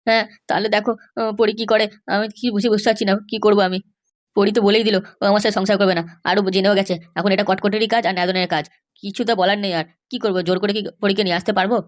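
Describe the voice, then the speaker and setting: A woman's voice: mid-range voice, steady tone, very fast, pitched below the performer's own voice, lightly acted. A woman voicing a worried, forlorn cartoon husband who whines helplessly about his missing wife. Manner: whining, helpless. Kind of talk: exaggerated cartoon dialogue.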